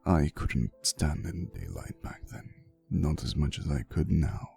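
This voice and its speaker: Very deep, gravelly vampire boyfriend, acted by a man. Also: heavily acted. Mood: somber. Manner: soft, slow, hesitant storytelling with teasing asides. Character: centuries-old romantic vampire boyfriend, teasing, wistful, faintly menacing. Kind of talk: intimate ASMR roleplay monologue. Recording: soft music behind the voice. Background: quiet instrumental music.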